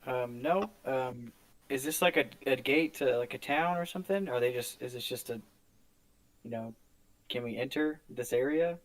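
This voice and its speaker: A man's voice: mid-range voice, moderate pace, dry recording. A man playing a tabletop game, discussing it casually and practically with the group.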